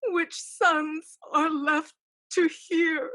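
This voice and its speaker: A woman playing an eerie ancestral spirit who is secretly a scheming sorceress, calling in a drawn-out, incantatory way in a staged reading. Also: sits high, little pitch movement, pushed and bright, heavily acted. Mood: solemn, coaxing.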